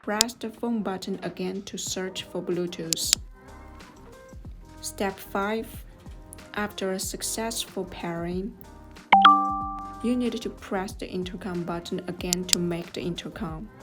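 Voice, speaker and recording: A woman reading scripted step-by-step instructions as a tutorial narrator, in a measured, neutral manner. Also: on the low side, steady tone. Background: music.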